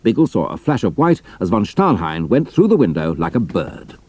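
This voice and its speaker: A man's voice: fairly low pitch, big pitch swings, moderate pace, mellow, slight background noise. A man with a British accent reading scripted adventure narration in a dramatic storytelling style.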